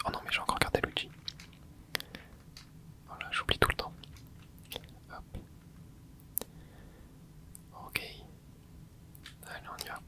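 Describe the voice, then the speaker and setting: An ASMR gaming host whispering a running commentary while playing, muttering quiet reactions into a close microphone. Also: extremely high pitch.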